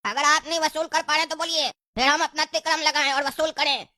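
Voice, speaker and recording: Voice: high-pitched, steady tone, a little fast, pushed and bright, no echo, studio quality. A performer voicing a meddling pumpkin boy in a Hindi cartoon, pert and argumentative. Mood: indignant. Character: meddling, stirring pumpkin kid, a son.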